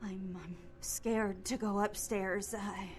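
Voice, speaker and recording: A woman's voice: medium pitch, fairly even intonation, slow pace, natural voice. An actress playing a frightened, confused young female cult recruit in a video game, stammering and hesitant, trailing off into whispers. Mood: scared, pleading. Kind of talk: video game dialogue acting.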